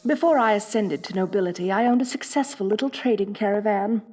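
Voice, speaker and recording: A woman's voice: mid-range voice, a little fast, darkish tone, faint music behind, close to an everyday voice. A woman playing a rich, bossy lamia mistress in a roleplay audio drama, haughty and condescending with affected elegance. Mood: haughty, self-satisfied. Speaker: wealthy mistress addressing a new servant.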